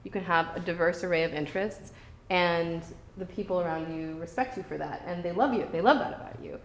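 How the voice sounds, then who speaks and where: A woman's voice: on the low side, a little fast. A woman professor from Michigan, talking to new students in a friendly, informal way with fillers. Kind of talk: casual talk to students. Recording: echoey room, faint noise.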